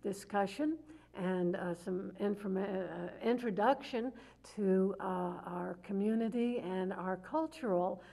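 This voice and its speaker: A woman's voice: medium pitch, takes it slowly, vocal fry. Chatty woman hosting a local TV show. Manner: warm-hearted, hesitant, many uhs. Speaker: talk show host. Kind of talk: community TV interview.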